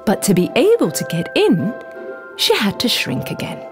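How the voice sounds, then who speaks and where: Highly animated woman storyteller over music. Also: normal speed. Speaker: children's story narrator. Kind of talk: scripted bedtime story narration. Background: background music.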